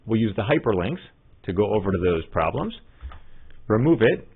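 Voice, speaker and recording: A man giving scripted step-by-step tutorial narration as a software trainer, in an instructional manner. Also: lowish voice, big pitch swings, normal speed, breathy edge. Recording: muffled narrow phone-like line.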